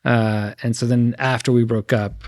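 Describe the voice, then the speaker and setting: A man's voice: medium pitch, little pitch movement, moderate pace, a little mellow, dry recording, studio quality. A man from Mexico who grew up there and in the States, a podcast guest telling stories in a playful, bursty way. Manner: playful storyteller, talks in bursts. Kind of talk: casual conversation.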